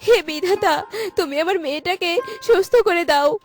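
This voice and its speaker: A woman's voice: very high-pitched, normal speed. A woman playing a distraught, bitter village mother who wails her laments in a cartoon. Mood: wailing. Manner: wailing laments. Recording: music behind the voice.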